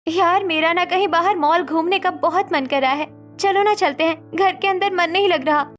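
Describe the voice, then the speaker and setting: Young bride, played by a woman, high-pitched, earnest and plaintive, cartoon family drama, music behind. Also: moderate pace, fairly bright tone. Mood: eager. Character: yearning, stifled young sister and new bride.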